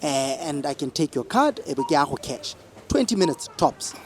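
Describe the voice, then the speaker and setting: A man's voice: on the high side, sing-song, normal speed. A man playing a wheedling young hustler in a radio drama, with a Botswana accent and slangy, coaxing talk. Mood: pleading. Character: wheedling young hustler, cajoling his boss for favours.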